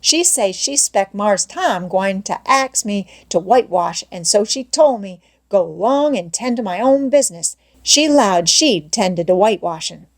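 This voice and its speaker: A woman's voice: mid-range voice, animated, a little slow, few pauses. A woman voicing a timid, hesitant young servant boy from a storybook, speaking an old American South dialect in a reluctant, fearful manner.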